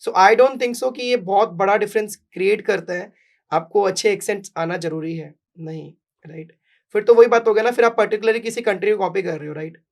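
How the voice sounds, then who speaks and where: A man's voice: sits high, normal speed, vocal fry. A young adult man from Bihar with a Bihari accent, an English coach in a podcast interview, mixing Hindi and English and often asking "right?". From Bihar, India. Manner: mixes Hindi and English, emphatic, repeats "right?".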